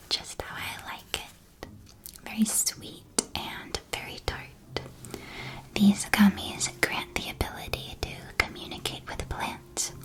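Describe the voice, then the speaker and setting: A tiny magical sweet shop where a kindly shopkeeper played by a woman whispers gentle, unhurried ASMR sales patter to a young witch customer. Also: natural voice, rough recording. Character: kindly, chatty female candy shopkeeper in a witch world. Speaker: ASMR roleplay performer.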